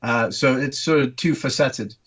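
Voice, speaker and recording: A man's voice: mid-range voice, moderate pace, fairly bright tone, husky, muffled recording. A man in his thirties from Turkey, guest on a casual podcast, explaining things with many ums and uhs. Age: 30s. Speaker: guest orthopaedic surgeon.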